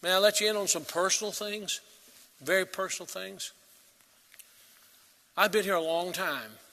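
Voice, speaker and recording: Earnest preaching man, bright voice in a hall. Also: high-pitched, normal speed. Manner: earnest, rising to emphatic peaks then dropping to soft. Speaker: pastor. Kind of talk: sermon.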